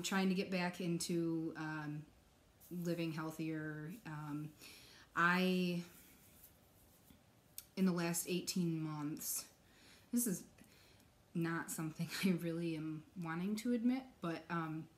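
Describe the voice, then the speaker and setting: A young adult woman vlogging from her couch, chatting casually with many ums, her voice still recovering from a cough. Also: lowish voice. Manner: chatty, many ums, soft nervous laughs. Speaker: stitching vlogger. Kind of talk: casual chat to camera. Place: living room couch.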